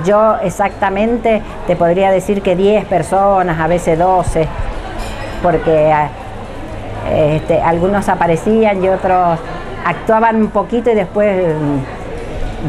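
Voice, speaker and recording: Older woman over noisy background. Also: on the low side. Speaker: former radio theatre cast member. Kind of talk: reminiscing interview talk. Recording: clearly noisy room ambience.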